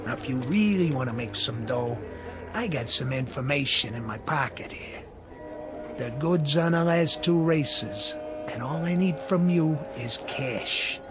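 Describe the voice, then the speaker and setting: An actor playing a furtive, wisecracking racetrack hustler with a confidential, coaxing, slangy manner in a 1960s television drama. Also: male voice, medium pitch, animated, unhurried, music in the background, close to an everyday voice. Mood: sly, coaxing.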